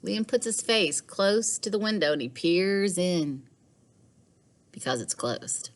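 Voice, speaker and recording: A woman teacher reading a children's storybook aloud in a measured, animated way. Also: lowish voice. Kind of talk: expressive storybook read-aloud.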